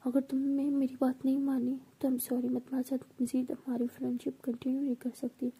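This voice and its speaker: A stern, protective young woman, scolding in a sharp, breathy whisper. Her voice is high and flat in melody, dark in tone, with a gravelly rasp, as a woman storyteller puts her on. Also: brisk, heavily acted, poor audio.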